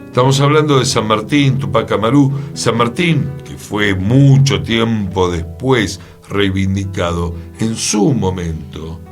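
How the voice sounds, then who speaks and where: A man's voice: fairly low pitch, measured pace, hardly any pauses. A man with an Argentine Buenos Aires accent presenting history conversationally on a radio talk show. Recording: music playing behind the voice.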